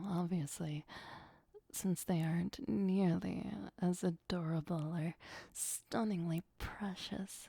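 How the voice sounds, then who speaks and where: A woman playing a possessive, doting vampire girlfriend in an ASMR roleplay, speaking softly and sweetly with breathy, often whispered delivery. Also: medium pitch, dry recording, studio quality, exaggerated voice. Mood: delighted, indulgent.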